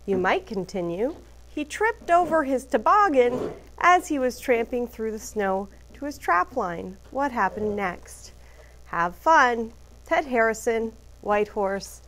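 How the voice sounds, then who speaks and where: A woman teacher reading a picture book aloud to children, deliberately emphasizing letters and phrases. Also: a little high, a bit bright.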